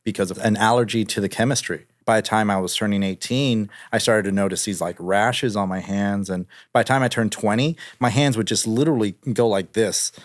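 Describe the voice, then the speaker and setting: A man's voice: on the low side, a little fast, hardly any pauses, dry recording. Slangy man telling stories, dry close sound. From Miami, Florida. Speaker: interview guest. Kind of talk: casual conversational storytelling.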